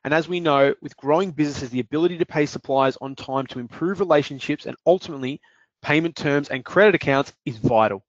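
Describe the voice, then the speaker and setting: A man presenting a product demo on a webinar, talking in a measured, explaining way with some fillers. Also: a little high, no echo. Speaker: webinar presenter, business development manager. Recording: dry dull lo-fi audio.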